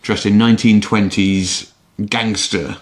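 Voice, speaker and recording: A man's voice: on the low side, fairly even intonation, measured pace. A British man, a podcast host, talking in a casual, conversational way.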